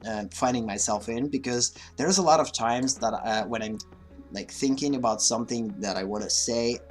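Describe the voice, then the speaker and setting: A man's voice: mid-range voice, normal speed, soft background music. A man whose first language is Russian, speaking English with a mixed, slightly Russian accent in a casual, hesitant livestream monologue full of fillers and restarts. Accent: mixed Russian-influenced. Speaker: livestream host.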